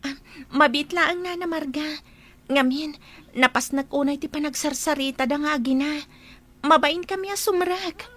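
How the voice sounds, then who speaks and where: A woman's voice: high-pitched, normal speed, a bit bright. A woman with an Ilocano accent acting a shy, obedient young lady in a radio drama. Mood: bashful, willing.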